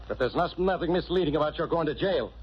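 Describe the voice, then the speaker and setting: An actor playing a gruff, impatient police inspector in a blustering 1940s radio style. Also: male voice, a little high, lively intonation, quick pace. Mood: gruff, pressing. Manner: blustering, pressing, interrupting. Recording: muffled old radio recording, faint noise.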